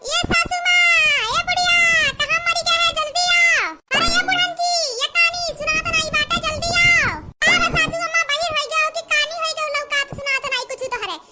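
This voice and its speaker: A woman voicing a scheming, gossiping young village daughter-in-law in a cartoon comedy, with an Awadhi accent and an artificially squeaky, nagging delivery. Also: fairly even intonation, a little fast. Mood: impatient shouting. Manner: exclamatory, nagging, conspiratorial. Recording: noticeable background noise.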